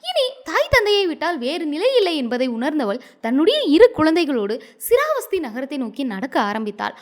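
A woman storyteller narrating a scripted moral tale in a dramatic, expressive manner. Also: high-pitched.

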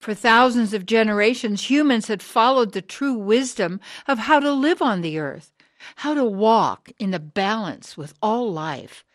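An actress as a hard, driven woman of sixty in a radio drama. She delivers her indictment slowly, with weighty rhetorical pauses. The sound is close and dry. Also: on the high side, lively intonation, no room sound. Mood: grave. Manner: deliberate, rhetorical, emphatic pauses. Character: hard, driven sixty-year-old woman, bitter anti-church preacher.

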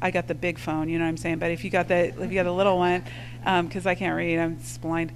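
A woman's voice: fairly low pitch, level tone, fast talker, a little hiss or hum, rough recording. A woman panelist answering questions at a live panel, talking in a thoughtful, conversational way with many fillers. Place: lecture hall with audience.

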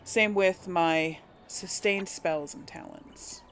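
A woman's voice: fairly low pitch, steady tone, takes it slowly, fairly bright tone, slight background noise. A woman giving casual, chatty gameplay commentary to her viewers, thinking aloud as she plays. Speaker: let's player hosting a gameplay series.

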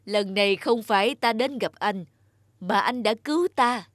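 A woman's voice: mid-range voice, a little slow, no room sound, clean studio sound, close to an everyday voice. A woman voicing a solemn, commanding Grim Reaper in a folk-tale cartoon, speaking in a formal, stately manner. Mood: solemn gratitude.

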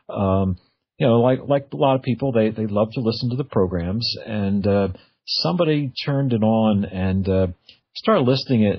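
Low, husky man hosting radio. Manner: chatty, informal storytelling. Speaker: radio show host. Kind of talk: conversational radio interview. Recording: dull, band-limited radio audio.

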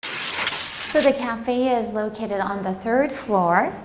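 A woman's voice: on the high side, takes it slowly, background noise. A young woman in her twenties hosting an upbeat travel vlog, walking through cafes and streets, bubbly and exclamatory with gasps of delight. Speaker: travel video host. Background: background music.

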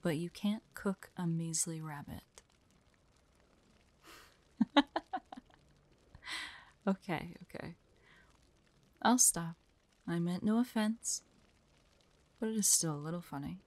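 A woman voicing a guarded, proud young hunter in an audio roleplay, terse and prickly, often dropping into hushed, breathy asides. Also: fairly low pitch, slow pace, pauses often. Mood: teasing, amused. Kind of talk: dramatic audio roleplay, addressed to an unheard listener.

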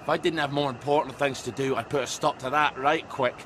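A man playing an excitable, stubborn middle-aged British father in a dramatic video game performance, gruff and defensive. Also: medium pitch, fairly quick, a little hiss or hum, close to an everyday voice. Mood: exasperated.

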